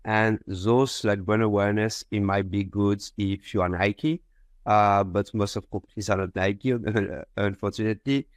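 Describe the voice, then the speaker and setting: A man's voice: fairly low pitch, steady tone, normal speed, no echo, studio quality. A man from France with a French accent, explaining his business conversationally as an interview guest. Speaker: company founder, podcast guest.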